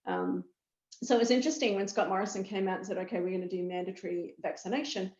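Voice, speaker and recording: A woman with an Australian accent explains things as an expert guest in a discussion, in a measured, conversational way with rhetorical questions. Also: medium pitch, expressive, a little mellow. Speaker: pharmaceutical chief medical officer, expert guest. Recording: echoey room, muffled audio.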